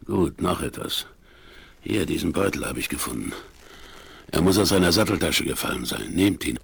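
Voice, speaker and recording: Gravelly, excitable old frontiersman. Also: low-pitched, moderate pace, heavily acted. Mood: sly, hushed. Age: older. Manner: colourful, folksy, cursing speech. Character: gruff, hot-tempered old Wild West frontiersman. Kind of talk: 1970s German western radio play acting. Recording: vinyl transfer with background noise.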